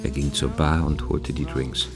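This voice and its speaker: A man's voice: extremely low pitch, little pitch movement, moderate pace, music in the background. A man narrating a radio drama in a scripted, literary style.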